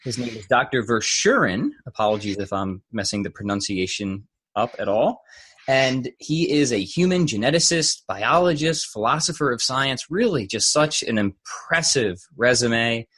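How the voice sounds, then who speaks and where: Young male podcast host, animated pitch, slightly husky, eager and rambling. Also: moderate pace. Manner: enthusiastic, rambling with restarts. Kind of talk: casual interview chat.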